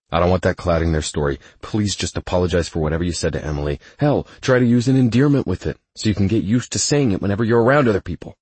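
A man's voice: deep voice, a little mellow, husky, dry recording. Exasperated fussy male assistant, as a narrator voices him. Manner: urgent, exasperated pleading.

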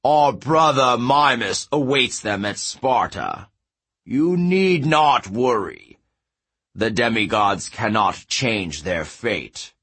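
A man's voice: medium pitch, expressive, slow, fairly bright tone, slightly rough, heavily acted. A man voicing a pompous, commanding giant king in a growling fantasy audiobook voice. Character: imperious giant king, booming, scornful, commanding.

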